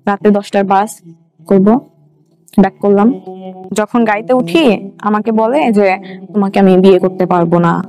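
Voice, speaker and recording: A woman's voice: mid-range voice, steady tone, normal speed, long pauses, mellow. A young woman with a Bangladeshi Bengali accent, telling her own story in a colloquial way as an interview guest, with English words mixed in. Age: young adult. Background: background music.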